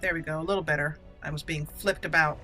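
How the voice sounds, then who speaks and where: A woman's voice: sits low, steady tone, quick pace, pushed and bright, faint music behind. A woman giving casual, chatty, playful commentary as she hosts a let's play gaming video.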